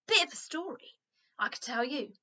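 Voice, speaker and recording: A woman's voice: high-pitched, lively intonation, moderate pace, pushed and bright, dull audio, exaggerated voice. A woman voicing a kindly, chatty old lady in a storybook, with a merry, reassuring manner. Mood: earnest, confiding.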